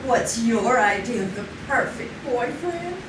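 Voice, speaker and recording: A woman's voice: a little high, animated, unhurried. A woman playing a chatty, curious friend in a live old-time radio drama. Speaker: Rita, a friend. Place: small theater stage, live. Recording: echoey stage from far off, noisy.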